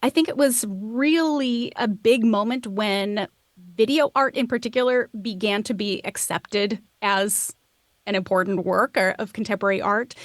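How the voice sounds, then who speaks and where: A woman's voice: medium pitch, no room sound, clean studio sound. A woman giving reflective, conversational interview answers, thinking aloud and questioning herself as she goes. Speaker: podcast host as guest expert.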